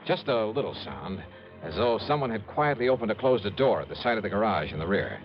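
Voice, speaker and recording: A man's voice: lowish voice, big pitch swings, fairly quick, soft background music. An American man playing a wry, hard-boiled insurance investigator in an old radio detective drama, talking in a dry, clipped, colloquial way. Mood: tense narration. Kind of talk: old-time radio detective acting and first-person narration. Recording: muffled old radio recording.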